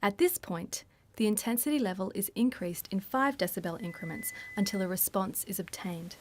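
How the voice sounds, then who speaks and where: A woman delivers scripted educational narration. Her voice sits at an average pitch, and she explains each step formally and methodically, like the narrator of a training video. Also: expressive, moderate pace, slight background noise.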